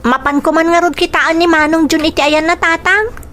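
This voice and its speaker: A woman's voice: very high-pitched, fairly even intonation, a little fast, fairly bright tone, a little hiss or hum. A woman playing a dutiful, sorrowful young daughter of a poor farm family, plaintive and earnest, in an Ilocano radio drama. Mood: worried. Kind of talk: Ilocano radio drama acting with inner monologue.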